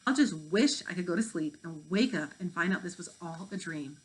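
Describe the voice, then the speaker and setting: A woman's voice: fairly low pitch, animated, fairly quick, lightly acted, poor audio. A woman reading the part of a prim, indignant fifth-grade overachiever, a girl who sounds aggrieved.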